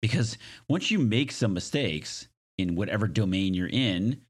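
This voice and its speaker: Male solo podcaster, low-pitched and smoky with slight hoarseness; conversational, reflective, self-deprecating. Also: expressive, measured pace. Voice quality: raspy, smoky. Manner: self-deprecating, joking asides. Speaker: podcast host.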